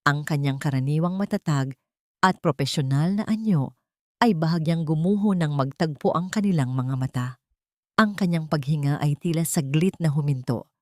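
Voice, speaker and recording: A Filipino woman reads dramatic fiction in a lowish voice. Her delivery is measured and expressive, with a literary storyteller's phrasing. The sound is close and dry, with very little room around it. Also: no room sound. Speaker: narrator of audio drama stories. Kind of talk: dramatic scripted storytelling narration.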